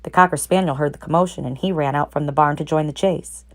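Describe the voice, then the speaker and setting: Low-pitched woman reading a children's story. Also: fairly even intonation, quick pace. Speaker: narrator, read-aloud reader. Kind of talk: expressive children's storybook read-aloud.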